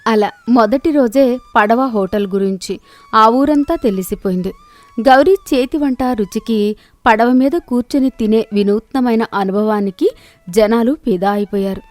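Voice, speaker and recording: A woman narrating a children's moral story in a steady, clear storytelling manner. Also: fairly high pitch, a little slow, dry recording, soft background music.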